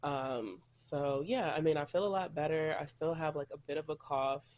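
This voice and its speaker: A man's voice: on the high side, normal speed, a little mellow, a little hiss or hum. A congested man recovering from a cold, hosting a casual solo podcast and rambling in a chatty way. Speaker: podcast host.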